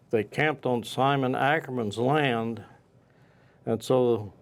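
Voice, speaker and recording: Slow-spoken man recounting local history. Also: mid-range voice, a little mellow. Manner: halting, pauses mid-sentence. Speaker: local historian, interview guest.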